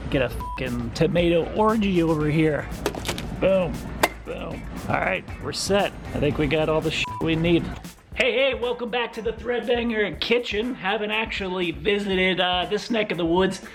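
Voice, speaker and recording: A man with a raspy voice hosting a comedic cooking vlog, talking sarcastically and swearing heavily with rambling asides. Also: high voice, moderate pace, over music. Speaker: cooking video host.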